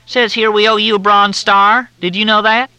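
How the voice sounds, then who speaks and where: A man's voice: high-pitched, level tone, normal speed, sharp tone, smooth, no room sound, pitched above the performer's own voice, low-quality recording. A man voicing a courteous Southern army major with a thick drawl that makes everything sound like a question. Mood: folksy, chatty.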